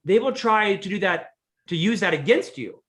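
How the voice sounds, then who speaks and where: A man's voice: high voice, lively intonation, moderate pace, a bit bright. A middle-aged man speaking as a podcast guest, telling candid, self-deprecating stories about leadership in a conversational way. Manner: earnest, self-deprecating storyteller. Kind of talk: conversational interview.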